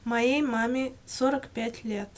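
Female, slightly high voice, reciting model answers for language learners in measured full sentences. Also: steady tone. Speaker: language-lesson model speaker answering questions. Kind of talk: scripted language-lesson dialogue, read aloud.

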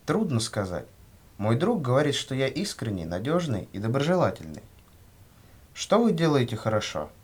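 A man reading scripted questions and answers for a language lesson in a measured way. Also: medium pitch, big pitch swings, slightly rough. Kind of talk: scripted language-lesson dialogue, read aloud.

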